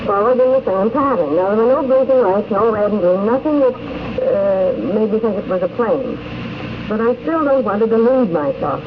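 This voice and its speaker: A woman's voice: mid-range voice, expressive, normal speed. Earnest, articulate woman on muffled old radio. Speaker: convention attendee being interviewed. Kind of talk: unscripted personal account in interview. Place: convention in the countryside. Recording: dull, very noisy 1950s radio recording.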